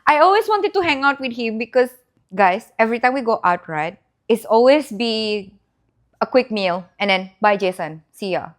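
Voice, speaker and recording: A woman's voice: a little high, expressive, normal speed. A young woman, hosting a casual podcast chat and speaking straight to the viewers. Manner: chatty, addresses viewers. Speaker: podcast host.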